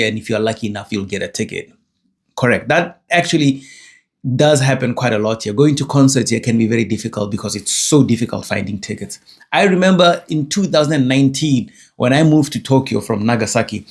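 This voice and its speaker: Young South African guy, slightly breathy, casual digressive vlog talk, mid-pitched. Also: a little mellow. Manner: digressive, self-correcting, chatty. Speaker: vlogger. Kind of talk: casual rambling monologue.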